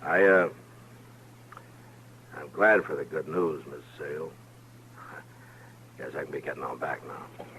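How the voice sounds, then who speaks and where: A male actor with an American accent playing a steady, courteous Old West lawman in a radio western, speaking in a measured, polite way. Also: extremely low pitch, vocal fry, heavily acted. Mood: puzzled, reassuring. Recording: noisy vintage radio recording with hum.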